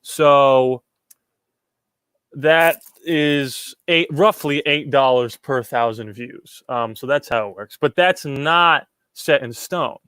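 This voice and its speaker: A man's voice: medium pitch, takes it slowly, fairly bright tone, clean tone, no room sound. A young man from Puerto Rico hosting a casual livestream, reading chat questions and answering them in a rambling way, with repeated words and many ums.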